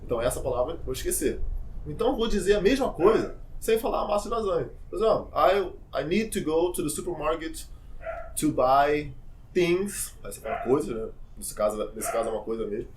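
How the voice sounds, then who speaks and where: A young man explains things in a loose, chatty way, talking straight to his viewers. His voice sits a bit high for a man, with a faint hiss of background noise. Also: fairly quick, low-quality recording. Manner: chatty, informal, many fillers and asides; lively, addresses viewers directly. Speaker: English teacher. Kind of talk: casual teaching vlog.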